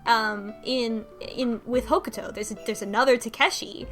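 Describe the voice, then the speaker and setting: A woman co-hosting a podcast, casually retelling a story in halting bursts with false starts and some swearing. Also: fairly high pitch, steady tone, fairly bright tone, faint music behind. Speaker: podcast co-host recapping a story. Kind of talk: casual conversational plot recap.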